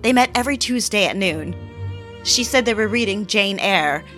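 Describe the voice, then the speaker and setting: Actress as a wistful, genteel young housewife. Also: female voice, mid-range voice, expressive, normal speed, a bit bright, faint music behind, close to an everyday voice. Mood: wry, confiding. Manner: theatrical, confiding, genteel, old-fashioned phrasing. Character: fragile, wistful, eager young 1940s housewife. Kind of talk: dramatic scripted audio drama narration and dialogue.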